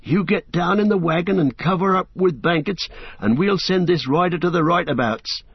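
A man's voice: sits high, moderate pace, breathy edge, dry recording, muffled recording, voice pushed up. A man doing an earnest, loyal young hobbit servant. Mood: anxious.

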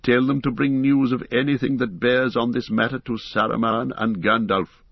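A man voicing a grave, weary old male wizard who speaks in a calm, measured way. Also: mid-range voice, no echo, muffled recording, natural voice. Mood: urgent.

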